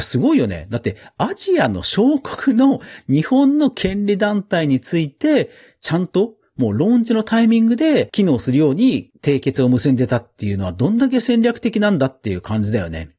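A man presents a casual news round-up as a podcast host, his pitch moving in an animated way. His voice is a little husky and he drops in chatty asides. Light music plays behind him. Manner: chatty, informal asides with self-agreeing interjections.

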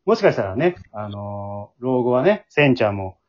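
A man's voice: medium pitch, very animated, moderate pace. A man hosting a casual group radio chat in a polite, chatty way, often turning to others for their views. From Japan. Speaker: host. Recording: dull, muffled lo-fi audio.

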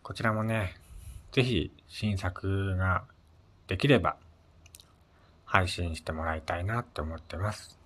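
Low-voiced man chatting casually on his podcast. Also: unhurried, long pauses, fairly bright tone. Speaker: solo podcast host. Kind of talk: casual solo radio chat.

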